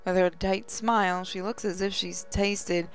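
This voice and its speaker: Woman narrating over soft music. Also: mid-range voice, fairly even intonation, measured pace. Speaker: gaming channel host. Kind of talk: expressive first-person story narration, reading a visual novel aloud.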